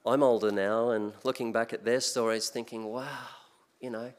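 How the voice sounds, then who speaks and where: Older Australian lay preacher, chatty, unpolished pulpit delivery. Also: male voice, mid-range voice, fairly even intonation, normal speed. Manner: conversational, many restarts and fillers. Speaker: guest preacher. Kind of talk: sermon. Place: church sanctuary, speaking at a pulpit. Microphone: pulpit mic.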